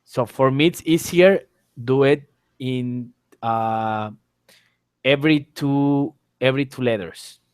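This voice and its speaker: A man's voice: medium pitch, takes it slowly, smooth, no room sound, studio quality. A man teaching an informal online exam lesson, explaining things step by step and repeating his phrases. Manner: explanatory, repeats phrases and spells letters aloud. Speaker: English teacher, exam tutor. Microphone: online call microphone.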